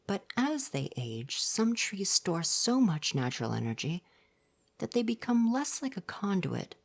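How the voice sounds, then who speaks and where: A man playing a gentle, coy and flirty forest spirit in an ASMR roleplay, speaking softly, at times breathily, in a formal, reassuring way. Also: on the high side, vocal fry, full character voice.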